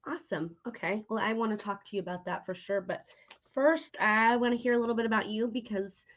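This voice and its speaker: A woman's voice: on the high side, steady tone, a little fast, a bit bright, highs cut off. A woman with an American accent interviewing a guest in a casual, conversational way. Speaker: podcast interviewer, host.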